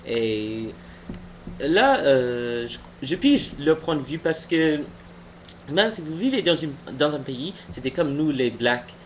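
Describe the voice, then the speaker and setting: A young American man, a native English speaker, giving an informal opinion monologue haltingly, searching for words. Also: fairly high pitch, sing-song, slight background noise. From United States. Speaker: video blogger.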